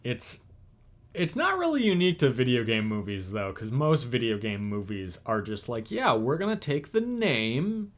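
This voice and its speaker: A man co-hosting a casual podcast, rambling through his points with frequent hesitations and restarts. He has a middle-pitched voice, and his delivery is loose and conversational. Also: big pitch swings, moderate pace. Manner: rambling, hesitant, searching for words. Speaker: podcast co-host. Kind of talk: casual chat.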